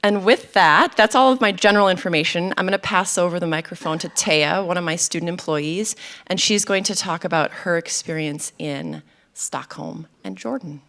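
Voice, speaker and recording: A woman's voice: fairly low pitch, normal speed, creaky, fairly bright tone. A woman from a university's study abroad office explaining to parents how they can support their students, with filler ums. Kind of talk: informational presentation.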